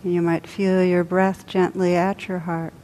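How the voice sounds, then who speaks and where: A woman with a fairly low voice leads a guided meditation. She speaks softly and without hurry, leaving long pauses between her phrases. A faint hiss sits under the recording. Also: level tone, low-quality recording. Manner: soft, unhurried, with long pauses. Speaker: meditation teacher.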